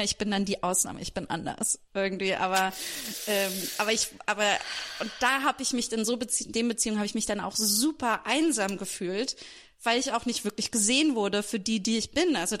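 A woman from Germany talking candidly and informally about herself in a podcast conversation, often breaking off and restarting. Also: mid-range voice. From Berlin and Hesse, Germany. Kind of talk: personal, confessional podcast conversation.

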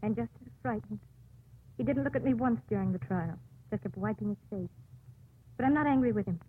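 A woman's voice: mid-range voice, fairly quick, breathy edge, lightly acted. A woman playing a proud, bitter young prisoner condemned for murder, sardonic and clipped, in a 1950s radio drama. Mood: cold, guarded. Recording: muffled, dry 1950s radio broadcast.

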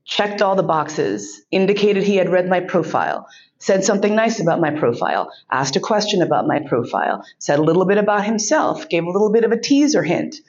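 A woman's voice: fairly low pitch, lively intonation, a little fast, a little mellow, lo-fi sound. A woman giving a keynote speech, telling a frank, profane story and laughing often.

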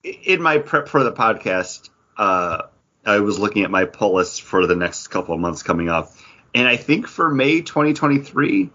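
A man with a lowish, husky voice chats as co-host of a comics podcast. He is wry and talkative and fills his speech with "like". The sound is dull and lo-fi. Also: big pitch swings, moderate pace, bright, forceful tone. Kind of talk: casual chat.

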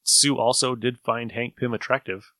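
Bright-voiced man hosting a casual podcast. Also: medium pitch, little pitch movement, moderate pace, no room sound. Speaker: podcast host. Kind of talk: casual group chat.

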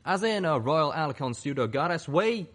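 A man's voice: a little high, lively intonation, moderate pace, fairly bright tone, voice pushed up. A man voicing a folksy, wary male pegasus pony in an audiobook, plain-spoken and blunt. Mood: wary, puzzled. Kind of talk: audiobook character voice.

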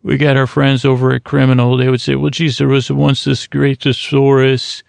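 A man's voice: medium pitch, monotone, moderate pace, gravelly, a little mellow, clear, resonant tone, no room sound. A man hosting a sleep podcast, rambling and wandering off topic, often stopping and restarting, close to the mic. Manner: meandering, self-interrupting, over-explaining. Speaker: podcast host. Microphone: close studio mic.

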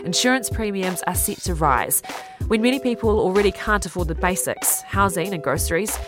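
A woman podcast host, giving a measured, scripted introduction. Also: medium pitch. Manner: measured, read-aloud delivery. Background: music.

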